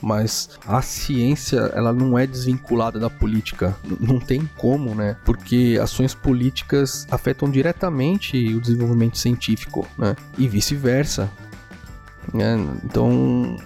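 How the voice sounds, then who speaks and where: A Brazilian man with a gravelly, darkly mellow voice talks through a solo podcast episode. He rambles casually and keeps checking in with the listener. Faint music plays underneath. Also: medium pitch, steady tone, moderate pace. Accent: Brazilian Portuguese. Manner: chatty, rambling, frequent "né?" tags. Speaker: podcast host. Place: home in a small town.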